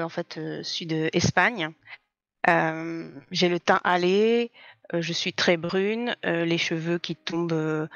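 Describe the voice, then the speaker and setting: A woman introducing her tabletop character hesitantly, with many pauses and fillers. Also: lowish voice, no echo, lo-fi sound. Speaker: player.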